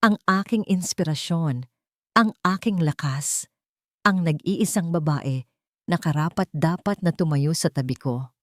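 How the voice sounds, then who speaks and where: A woman voicing a proud, cold husband in a storybook drama, speaking formally and deliberately. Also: sits low, dry recording, studio quality. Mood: adoring, proud.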